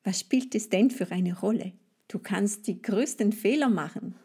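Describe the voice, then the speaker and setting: A woman, a native French speaker with a French accent, presents a language lesson as a podcast coach, speaking to the listener directly in deliberate, instructive phrases. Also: mid-range voice, mellow, breathy edge.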